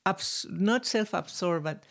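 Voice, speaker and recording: A woman's voice: mid-range voice, slow. A woman in her sixties, a violinist guest, talking reflectively and earnestly in a casual interview and laughing now and then. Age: 60s.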